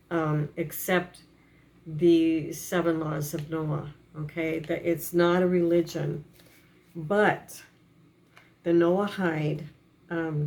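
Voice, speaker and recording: A woman with a froggy voice, hesitantly reading and explaining a religious text with many pauses and fillers. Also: low voice, darkish tone. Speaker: religious teacher.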